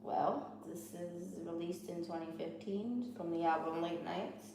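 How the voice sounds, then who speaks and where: Woman, Haitian Creole accent, low gravelly voice, study vlog, echoey noisy room. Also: unhurried. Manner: talks candidly, restarts and repeats herself. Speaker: student vlogger.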